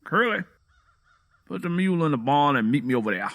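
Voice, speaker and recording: A man's voice: on the high side, expressive, fairly quick, a bit bright. A man playing a proud, defensive, hot-tempered Southern country man in an audio drama, emotional and defiant. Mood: curt.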